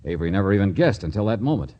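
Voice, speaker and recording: A man playing a tough, sharp-tongued private detective in an old American radio drama, needling and insistent. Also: low voice, sing-song, racing, dull audio. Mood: calmer, explaining. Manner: hard-boiled, needling, insistent. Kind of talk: 1940s-50s American radio drama acting. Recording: vintage radio drama recording.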